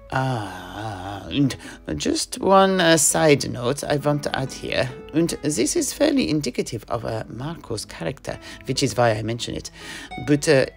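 A man tells a long tale in a relaxed, meandering way, pausing often on fillers. His voice is gravelly and swoops widely in pitch. Soft music plays behind him. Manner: relaxed, rambling storytelling with many fillers. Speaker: storyteller guest. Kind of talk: in-character audio drama narration.

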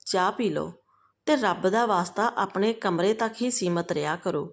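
A woman's voice: mid-range voice, normal speed, close to an everyday voice. A woman storyteller voicing a callous grown son who talks to his mother in a curt, domineering way. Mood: coldly chiding.

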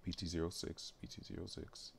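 Low, gravelly man muttering to himself. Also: level tone, brisk. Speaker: livestreamer. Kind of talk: casual think-aloud while working on game files. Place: indoors at a computer, keyboard clicks behind. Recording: faint background noise, keyboard clicking.

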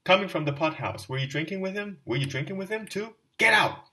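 A man voicing a desperate, frenzied poor mother who shouts shrilly in a storybook reading. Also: high-pitched, lively intonation, fairly quick, distant mic, pitched above the performer's own voice. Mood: despairing.

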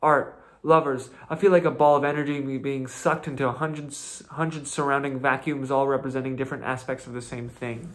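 A man's voice: mid-range voice, steady tone, brisk, few pauses. A man in his twenties improvising a searching, intense spoken-word monologue in a small bedroom, recorded on a close laptop mic. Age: 20s. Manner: searching, intense, rising into emphatic outbursts. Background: acoustic guitar strumming.

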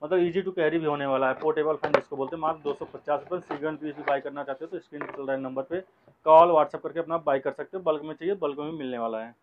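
A man's voice: a little high, steady tone, quick pace, highs cut off, background noise. A man hosting a promotional product showcase, talking in effusive, repetitive sales patter. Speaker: host presenting products at a wholesale shop. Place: small cluttered shop, indoors.

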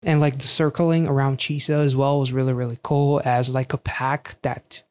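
A man in his thirties giving casual reaction commentary in a halting, thinking-aloud way, close on a studio microphone. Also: mid-range voice, flat delivery, a little mellow. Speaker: reaction video host. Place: small quiet indoor home studio.

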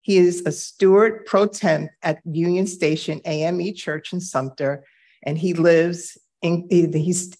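A middle-aged woman moderating a reading circle, speaking slowly and measuredly with self-deprecating asides, filmed from afar in a bright gallery room. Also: low-pitched, vocal fry, breathy edge. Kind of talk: live event introduction and moderated conversation. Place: bright wooden-floored gallery room, indoors.

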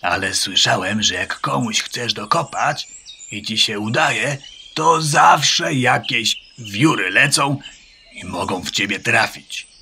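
A man's voice: medium pitch, expressive, slow, vocal fry, noisy background. A man playing a vain, cowardly, pompous rooster in a children's audio play. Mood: worried, pompous. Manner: pompous, cautious. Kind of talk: children's audio drama acting.

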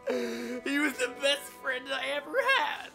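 A man voicing a naive, eager and whiny hero in an animated comedy, excitable and melodramatic. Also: very high-pitched, unhurried, creaky, full character voice. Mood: heartbroken. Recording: loud music behind.

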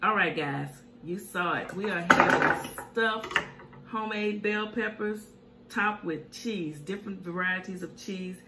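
A woman's voice: mid-range voice, measured pace. A middle-aged woman, chatting casually as she eats and hums over her food while hosting a home cooking show. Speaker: mukbang cooking show host. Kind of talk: casual chat while eating. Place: home kitchen, indoors. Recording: echoey room with faint hiss.

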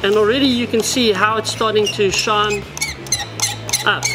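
A man's voice: high voice, steady tone, moderate pace, noisy background. A South African man in his forties explaining fishing gear at a tackle shop counter, in a practical, explanatory way. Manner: practical, explanatory, addresses viewers as guys. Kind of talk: product demonstration. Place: indoor tackle shop, seated at a wooden counter.

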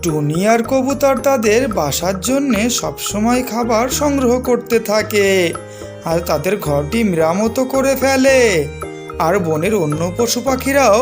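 A woman's voice: a little high, animated, measured pace. A woman reading scripted narration for a children's story. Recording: music behind the narration. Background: clear music.

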